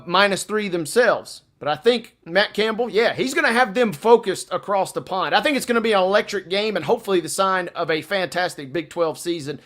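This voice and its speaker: A man's voice: sits high, a bit bright. A man co-hosting a sports show, chatting through betting picks with colloquial, playful turns of phrase.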